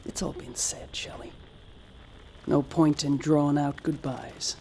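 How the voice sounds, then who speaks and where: An actress playing a stoic, resigned mission commander in an audio drama, clipped, restrained and matter-of-fact, with a husky voice. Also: female voice, low voice, steady tone, slow, mellow, whispered, full character voice, low-quality recording. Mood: curt, resigned.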